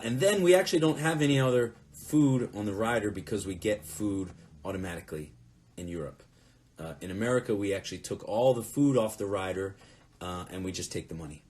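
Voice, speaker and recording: Low-voiced guy rambling through stories on a live fan q&a at home. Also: a little mellow. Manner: rambling, anecdotal storytelling. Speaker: musician hosting a fan Q&A. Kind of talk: casual live Q&A chat. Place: at home, next to a closet.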